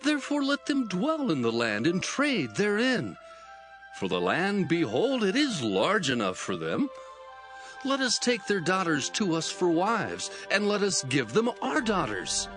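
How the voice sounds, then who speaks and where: A man's voice: high voice, wildly swooping pitch, measured pace, music in the background, exaggerated voice. An actor playing a persuasive male ruler and father in a dramatised audio Bible, speaking in a declamatory way.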